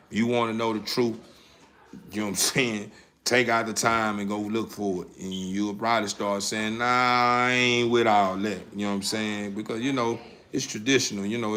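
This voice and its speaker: A man's voice: fairly low pitch, fairly bright tone, a little hiss or hum. An American man hosting a casual livestream, rambling in a folksy, encouraging way with repeated catchphrases. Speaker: livestream host of a dog breeder channel.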